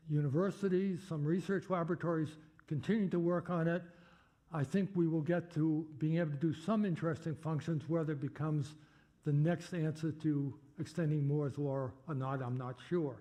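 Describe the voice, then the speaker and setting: An older man with a Boston accent, answering audience questions at a lecture in a halting way with many fillers. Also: a little high, fairly even intonation, mellow. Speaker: guest speaker.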